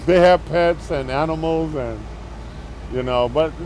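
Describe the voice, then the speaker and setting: A man's voice: on the high side, slow pace, a little mellow, slight background noise, poor audio. A man recalling his neighborhood's past in an interview, speaking in a rambling, plain-spoken way.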